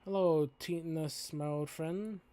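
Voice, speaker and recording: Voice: medium pitch, fairly even intonation, slow, dark tone, natural voice. Streamer acting stern, formal dinosaur principal. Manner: formal, authoritative. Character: stern but supportive male dinosaur school principal. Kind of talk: visual novel character voice read aloud.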